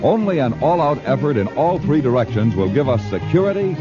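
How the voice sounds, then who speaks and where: A man's voice: mid-range voice, very animated, brisk. An American man announcing scripted credits in a formal, emphatic 1950s radio style. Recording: muffled vintage radio broadcast. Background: music.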